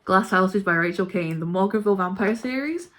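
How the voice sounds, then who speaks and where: A woman's voice: medium pitch, expressive, brisk, fairly bright tone. A woman chatting casually and informally about books she ranks, with a gushing, emphatic way of talking. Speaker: book reviewer vlogger. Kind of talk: casual chat ranking books.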